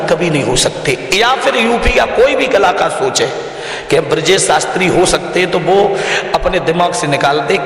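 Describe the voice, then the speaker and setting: Guy with up talking like a village preacher, earnest n repetitive, super echoey room, slightly high voice. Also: fairly bright tone. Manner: earnest, exhorting, repeats phrases in lists. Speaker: religious storyteller and devotional singer being interviewed. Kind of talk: interview answers in colloquial, preacherly talk.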